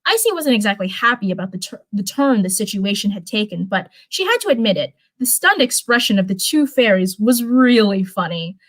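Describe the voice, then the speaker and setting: A woman's voice: mid-range voice, big pitch swings, fairly quick, few pauses. Female streamer, mid-range voice, informal read-aloud and chatty delivery, tends to ramble and repeat. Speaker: streamer reading viewer-submitted fan fiction. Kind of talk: casual livestream chat and read-aloud storytelling.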